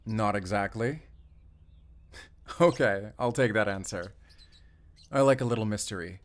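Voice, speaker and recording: A man's voice: on the low side, measured pace, lightly acted. Chatty, friendly forest ranger guy, acted by a man. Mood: playful. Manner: chatty, rambling, eager, with bashful hesitations. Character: friendly, talkative, excitable young male forest ranger. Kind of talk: audio roleplay acting addressed to the listener.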